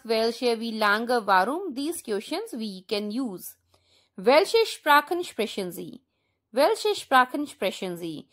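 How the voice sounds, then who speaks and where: A woman with an Indian accent teaching a language lesson in a deliberate, instructional way, repeating example sentences. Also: a little high, fairly bright tone.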